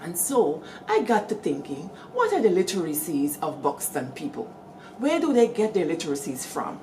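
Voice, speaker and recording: A middle-aged Guyanese woman hosting, telling village stories in a lively, animated way and calling out to her listeners. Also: fairly low pitch, moderate pace, a little hiss or hum, low-quality recording. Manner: lively, animated storytelling with call-outs to listeners. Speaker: storyteller host. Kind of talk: storytelling talk.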